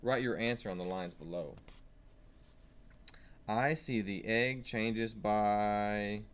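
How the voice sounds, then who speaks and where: A man's voice: on the low side, takes it slowly, clear, resonant tone. A man reading a science lesson aloud to young children, stressing key words and asking simple questions as he goes. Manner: simple questions and prompts to the listener.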